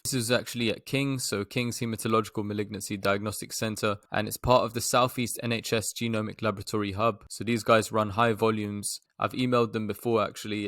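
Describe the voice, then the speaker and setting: A man's voice: lowish voice, a bit bright, no echo, clean studio sound. A man hosting an online investing video, giving informal, explanatory commentary as he reads out research updates and adds asides. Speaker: stock investing video host.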